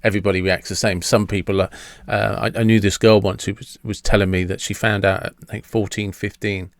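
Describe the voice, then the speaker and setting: Fifties guy, pretty low voice, rambly chat host, lots of stops and restarts, dry close sound. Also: level tone, a little fast, creaky, dry recording. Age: 50s. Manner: rambling, repeats words and restarts often. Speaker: podcast host. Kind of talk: casual conversational interview.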